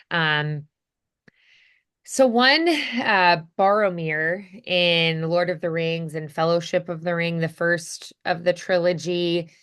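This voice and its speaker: A woman's voice: fairly low pitch, fairly bright tone, smooth. A woman teaching voice acting in a casual one-on-one chat, thinking aloud hesitantly and saying "like" often.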